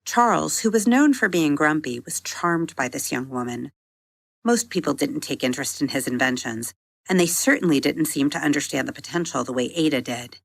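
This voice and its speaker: Woman telling a kids bedtime story, low gravelly-edged voice, measured n expressive, music behind. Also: no echo. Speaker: podcast host and story narrator. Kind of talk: scripted storybook narration for children.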